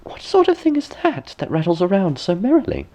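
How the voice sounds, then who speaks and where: A man's voice: high voice, wildly swooping pitch, a little fast, mellow, pitched above the performer's own voice, heavily acted. A man voicing a curious, innocent fifteen-year-old princess in a storybook, in a sing-song, wondering way.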